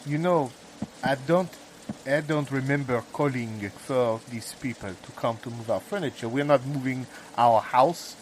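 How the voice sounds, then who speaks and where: A man's voice: mid-range voice, measured pace, slight background noise, close to an everyday voice. A man playing an indignant, rambling housemate, arguing and musing hesitantly in improvised audio comedy. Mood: exasperated. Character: indignant, rambling man bickering with his housemate, mildly philosophical.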